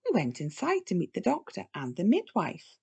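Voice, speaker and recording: A woman's voice: medium pitch, wildly swooping pitch, moderate pace, gravelly, a little mellow, husky, exaggerated voice. A woman voicing a kindly grandmother pig telling family tales in a children's storybook. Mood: storytelling. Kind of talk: children's storybook read-aloud.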